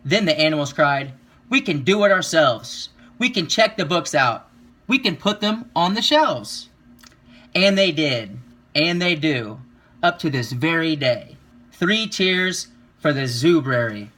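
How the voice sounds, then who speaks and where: A man reading a children's picture book aloud, with expressive, rhythmic delivery and drawn-out emphasis. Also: a little high. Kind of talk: children's story read-aloud.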